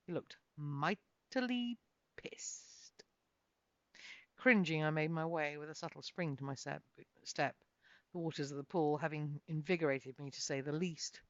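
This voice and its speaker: A woman's voice: deep voice, a little slow, no room sound, muffled recording. A woman reading her own novel as a dramatic first-person narrator, with theatrical, uneven emphasis.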